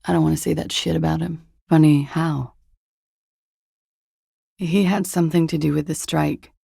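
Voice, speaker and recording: An actress playing a jaded, hard-partying young disco dancer in a video game, husky and languid, with a guarded, deadpan delivery. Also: female voice, deep voice, moderate pace, no echo, studio quality, heavily acted. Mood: guarded, flat. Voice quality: husky, gravelly with vocal fry. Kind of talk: video game voice acting, intimate dialogue.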